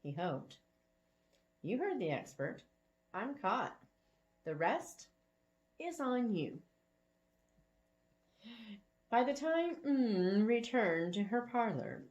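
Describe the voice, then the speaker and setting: A woman reads a story aloud as its narrator. Her delivery is animated and expressive, with pitch that moves widely and pauses placed for drama. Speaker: author reading own story aloud.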